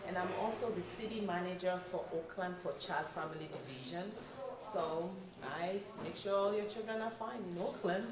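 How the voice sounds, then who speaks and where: A woman's voice: on the low side, normal speed, far from the mic. A middle-aged woman from Nigeria, earnestly answering an interviewer at a community town hall and listing her points one by one. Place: recreation center, crowd nearby. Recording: roomy hall, voice from off-mic, crowd behind.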